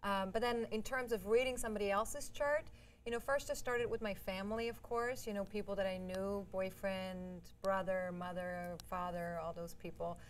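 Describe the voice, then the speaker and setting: A woman's voice: mid-range voice, steady tone, normal speed. Woman over audible background noise. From Vienna, Austria. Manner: explanatory, laughs easily. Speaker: guest astrologer and life coach. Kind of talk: conversational interview answers.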